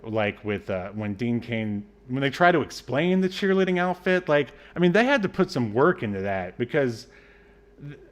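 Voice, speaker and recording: A man's voice: medium pitch, very animated, normal speed. A man co-hosting a podcast, chatting casually in an unscripted way. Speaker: podcast co-host. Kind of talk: casual chat about a film.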